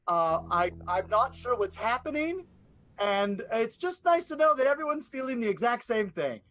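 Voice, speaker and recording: A man playing a bewildered, fearful impresario, halting and rambling, in an improvised radio play. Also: very high voice, sing-song, fairly bright tone, heavily acted, rough recording. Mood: lost, anxious.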